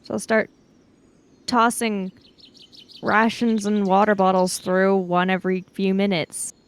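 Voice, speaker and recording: A woman's voice: medium pitch, little pitch movement, measured pace, smooth. A woman improvising in a casual tabletop role-playing game, switching between speaking as her character and narrating, sometimes hesitantly.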